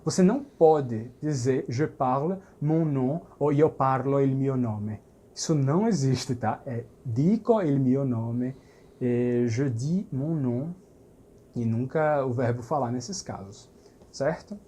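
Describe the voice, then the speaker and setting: A man's voice: mid-range voice, takes it slowly, mellow. A man teaching a lesson, explaining patiently and checking understanding as he goes. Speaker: language teacher. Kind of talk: recorded language lesson.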